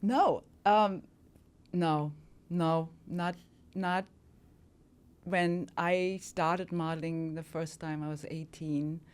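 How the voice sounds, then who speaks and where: A woman's voice: fairly low pitch, fairly even intonation, slow, long pauses, a little mellow, clear, resonant tone. A woman in her fifties from Germany, a model talking as a podcast guest in a reflective, hesitant way with many fillers. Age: 50s. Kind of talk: casual interview talk.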